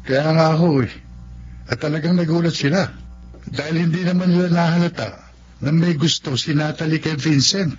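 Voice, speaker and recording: A man's voice: on the high side, fairly even intonation, normal speed, slight background noise, close to an everyday voice. A man playing a concerned, easily flustered young Filipino friend, talking colloquially in a muffled radio drama.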